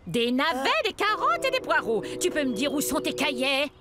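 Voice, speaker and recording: A woman's voice: high-pitched, very animated, normal speed, heavy background noise. A woman playing a bossy, strict female schoolteacher in a French cartoon dub. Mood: stern.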